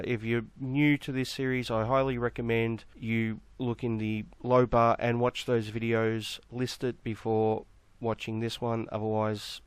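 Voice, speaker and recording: Croaky man with a flu. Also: medium pitch, dry recording. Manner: sarcastic, mocking rebuttal. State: has the flu, croaky throat. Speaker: YouTube commentator. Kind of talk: argumentative response video.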